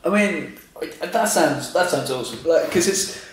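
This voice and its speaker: A man's voice: fairly high pitch, expressive, measured pace, a little hiss or hum. A man hosting a podcast interview in a casual, chatty way. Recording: very echoey room.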